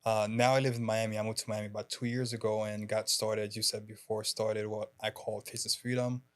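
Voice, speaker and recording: Young man in his twenties, from haiti, soft-spoken, laughs a lot, podcast guest, even monotone delivery. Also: fairly low pitch, brisk. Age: 20s. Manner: soft-spoken, laughs often, hesitant fillers. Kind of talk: casual interview chat.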